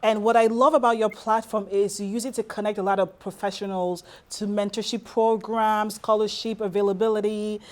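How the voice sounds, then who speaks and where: Interview host: woman, medium-pitched, conversational, openly admiring and effusive. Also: flat delivery, brisk, few pauses.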